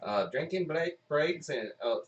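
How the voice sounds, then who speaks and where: A man's voice: a little high, lively intonation, a little slow, distant mic, highs cut off, slight background noise. A man with a Southern US accent hosting a casual live stream, chatting in a jokey, folksy way. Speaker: livestream host and radio play performer. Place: indoor room, group sharing one mic. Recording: echoey room, voice off from the mic.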